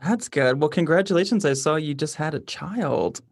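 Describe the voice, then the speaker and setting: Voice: mid-range voice, lively intonation, normal speed, no room sound, studio quality. A podcast host chatting casually while interviewing a guest.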